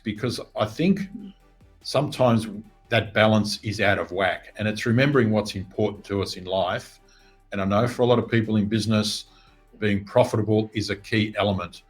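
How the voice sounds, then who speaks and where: Middle-aged man, lowish voice, earnest business coach, faint music underneath. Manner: steady, earnest, reflective. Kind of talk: interview answers.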